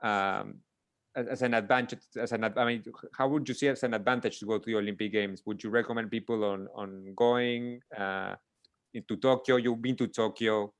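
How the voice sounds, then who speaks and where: A man's voice: lowish voice, steady tone, normal speed, dry recording. A man hosting a casual interview, rambling through long questions and restarting them with many fillers. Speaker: interview host.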